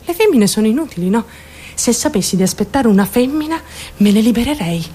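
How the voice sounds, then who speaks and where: A woman's voice: on the high side, very animated, fairly quick, mellow, slightly rough. An actress playing an obsessive, unstable young woman in a theatrical radio monologue, confiding and blunt, shifting abruptly and seeking agreement. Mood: proud, unhinged.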